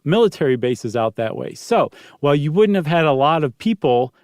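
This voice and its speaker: A man's voice: fairly high pitch, very animated, fairly quick, darkish tone, no echo. Man chatting casually, close and dry. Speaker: podcast co-host. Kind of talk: casual conversational explaining.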